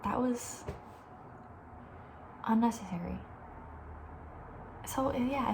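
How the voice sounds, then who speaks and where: Soft spoken asmr girl, woman chatting casually, kinda breathy and rambly, bit of background hiss. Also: medium pitch, low-quality recording. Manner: rambling, conversational, playfully opinionated. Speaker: ASMR video host.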